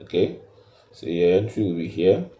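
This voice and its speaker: A man giving an unscripted step-by-step tutorial in a halting, repetitive, instructional way, recorded in an echoey room. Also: low-pitched, sing-song, warm tone, muffled recording. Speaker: trading tutorial presenter.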